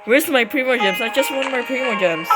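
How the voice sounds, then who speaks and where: A woman giving casual, excitable reaction commentary as she plays a video game. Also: a little high, brisk. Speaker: game streamer. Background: loud game music.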